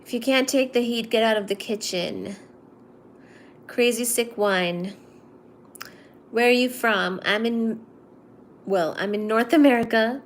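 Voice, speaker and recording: A young woman from India hosting a casual livestream, chatting with viewers and reading their comments aloud in a relaxed, low-energy mood. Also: unhurried, long pauses, a little hiss or hum. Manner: chatty, teasing, reads chat aloud and answers it. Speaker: livestream host. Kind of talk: casual live-stream chat with viewers.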